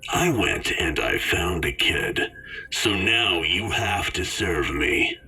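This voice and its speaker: A man voicing a menacing, demanding male customer in a scary story, in a low, slow, breathy, whispery growl. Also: lively intonation, pushed and bright, faint music behind, exaggerated voice. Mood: menacing, accusing. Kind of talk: scary story narration character voice.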